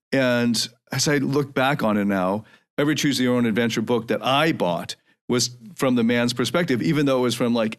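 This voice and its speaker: A man in his mid-forties, raised in North America, talks through a solo podcast episode. His delivery is reflective and earnest. He pauses and restarts as he works his ideas out aloud, with an ordinary, mid-range voice. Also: medium pitch. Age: 40s. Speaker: podcast host.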